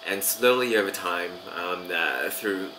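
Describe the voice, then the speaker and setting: A man in his thirties with an Australian accent, a language teacher chatting casually to camera with many fillers and pauses, seated in a small room. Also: deep voice, background noise. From Vanuatu. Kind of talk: casual video talk to camera.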